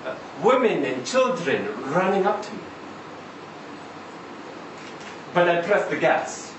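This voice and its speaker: A man reading a short story aloud as a chatty, insistent Israeli taxi driver, halting and conversational, full of rhetorical questions and tags. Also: sits high, sing-song. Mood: tense recounting. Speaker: reader at a live literary event. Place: indoor live reading event. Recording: roomy live venue, distant mic, faint noise.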